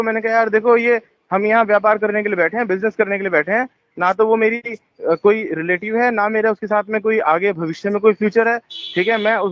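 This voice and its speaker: A man on a phone call, talking in a rambling, defensive way with many fillers and restarts. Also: high-pitched. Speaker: phone caller. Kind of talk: tense phone conversation. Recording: muffled phone call recording.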